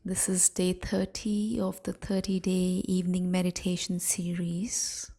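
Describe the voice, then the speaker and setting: A woman leading a guided sleep meditation in a hushed, soothing, softly breathy way, leaving long pauses. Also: fairly low pitch, completely flat delivery.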